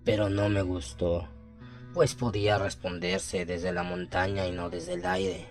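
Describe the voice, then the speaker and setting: A man playing an uncanny half-human boy sorcerer who reads his sinister diary in a slow, ominous way in a radio horror drama. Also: low voice, darkish tone.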